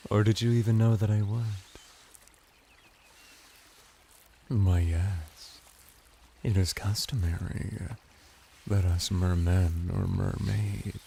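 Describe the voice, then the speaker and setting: A seductive merman bath attendant, played by a man in an intimate ASMR roleplay, speaks in a low, heavily creaky voice. He is courteous and formal, taking his time with lingering pauses, over faint background noise. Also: dark tone, full character voice. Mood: courteous, attentive.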